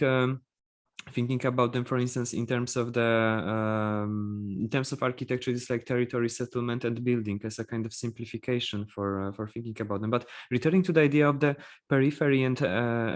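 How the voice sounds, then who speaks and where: Panel moderator, man, mid-pitched, searching for words. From Poland. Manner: thinking aloud, searching for words. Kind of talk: panel discussion.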